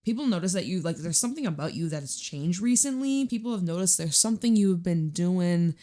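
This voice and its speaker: A woman with a raspy, hoarse voice pitched a little low talks her viewers through a tarot spread. She is chatty and reassuring and swears in passing. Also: lively intonation, fairly quick, warm tone. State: hoarse from screaming. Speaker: tarot reader. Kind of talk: casual tarot reading to viewers.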